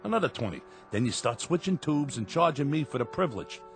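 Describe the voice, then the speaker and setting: A worn-out, grumbling New cab driver, gruff and quick to defend himself. His pitch moves a lot between gripes. Soft music sits under the radio drama. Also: very animated. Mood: weary. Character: tired, grumpy working-class cab driver husband.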